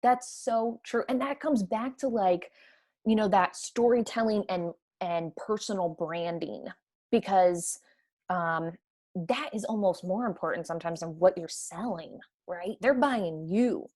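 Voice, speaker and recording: A woman with a Midwestern American accent, chatting energetically as a podcast guest. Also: mid-range voice. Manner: energetic, chatty, quick to laugh. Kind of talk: casual conversation.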